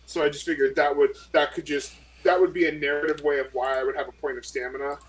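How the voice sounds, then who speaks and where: A man's voice: fairly high pitch, little pitch movement, fast, breathy edge, low-quality recording. A man playing in a tabletop role-playing game, thinking aloud hesitantly with many fillers. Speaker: player. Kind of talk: casual game chat.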